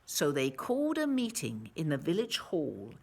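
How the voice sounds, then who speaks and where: A woman reading a picture book aloud to children, expressively, with dramatic emphasis and drawn-out words. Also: sits low, huge pitch swings, creaky.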